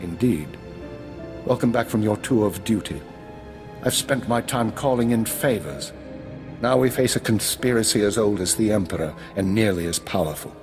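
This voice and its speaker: An actor playing a grave, authoritative older intelligence minister, speaking in a measured, formal way in a video game. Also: male voice, fairly low pitch, expressive, over music, close to an everyday voice. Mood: grave, commanding. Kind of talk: video game drama acting.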